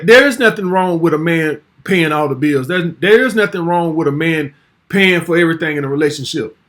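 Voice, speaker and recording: Host in his 40s, high pitch, brash and profane, casual commentary. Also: fairly bright tone. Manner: blunt, brash, swears freely.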